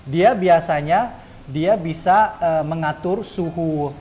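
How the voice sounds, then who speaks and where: A man's voice: a little high, slow, a little mellow, slight background noise. A middle-aged man, a chef hosting his cooking show, explaining a technique in casual chat, full of "eh" fillers and tag questions.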